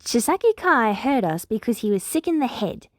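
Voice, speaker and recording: A woman's voice: a little high, sing-song, normal speed, no echo, studio quality, pitched above the performer's own voice. A woman voicing an earnest, wounded young heroine from the future in a story, speaking firmly and a little wryly. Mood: firm, reassuring. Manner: prompt, firm, wry.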